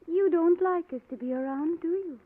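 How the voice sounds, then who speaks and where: A woman's voice: extremely high pitch, moderate pace. A woman acting in an old-time radio drama as a timid but needling young sister, childlike, meek and wheedling. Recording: tinny old radio recording.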